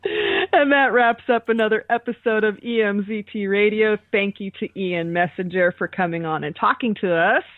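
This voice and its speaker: A woman's voice: medium pitch, moderate pace, few pauses, dry recording, narrow, tinny audio. A woman co-hosting a podcast in casual chat, heard over a thin call line. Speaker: podcast co-host.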